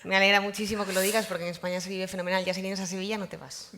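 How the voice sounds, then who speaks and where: Fast-talking woman moderating a panel. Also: mid-range voice, flat delivery, fairly bright tone. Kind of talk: moderating a round table.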